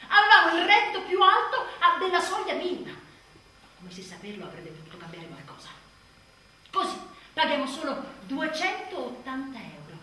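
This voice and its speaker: Casting: frazzled young mother, radio drama monologue, sharp forceful voice, confessional and sardonic, very reverberant distant recording. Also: high-pitched, sing-song, moderate pace, heavily acted. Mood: sardonic, exasperated. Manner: dramatic, swinging between intimate confession and sardonic outbursts. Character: exhausted, anxious young mother confessing guilt and frustration. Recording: very echoey stage, distant mic, faint noise.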